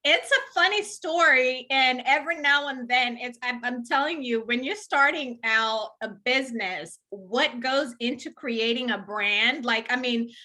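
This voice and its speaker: Bright-voiced woman guest, enthusiastic. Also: sits high, unhurried, hardly any pauses. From Rwanda and Uganda. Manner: warm, enthusiastic, many fillers. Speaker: professional organizer, guest. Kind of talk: casual interview chat.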